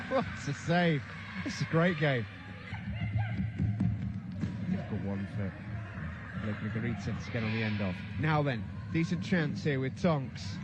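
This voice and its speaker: A man calling a futsal match live, his voice sitting high and quick to lift into shouts whenever a chance comes. He talks over a loud music bed that never drops out. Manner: excitable, rises to shouts at big chances. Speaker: play-by-play commentator.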